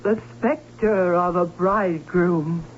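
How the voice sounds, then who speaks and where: An actress playing an anxious, foreboding Baroness, dramatic and emphatic, in an old radio drama. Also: female voice, on the low side, takes it slowly. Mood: fearful, ominous. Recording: dull old radio, hum and noise.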